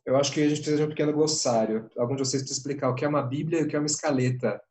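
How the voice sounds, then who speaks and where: Very fast Brazilian moderator, distant man's voice. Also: a little high, steady tone, a little mellow. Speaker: panel moderator. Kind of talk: conversational moderating. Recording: distant mic with clicks, faint noise.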